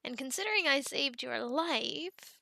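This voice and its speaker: ASMR roleplay: protective, possessive she-werewolf played by a woman, whispery and formal, bright edge, very close and dry. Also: high voice, lively intonation, dry recording, heavily acted. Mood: coaxing. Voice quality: breathy, often whispered. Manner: slow, soothing, formal, deliberate phrasing. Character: possessive, protective female werewolf doting on her captive.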